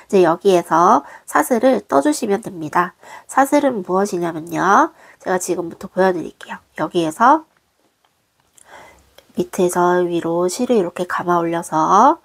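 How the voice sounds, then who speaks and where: A woman, hoarse from a cold, patiently walking viewers through a craft tutorial step by step in a gentle, polite way. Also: lowish voice. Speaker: crochet designer teaching.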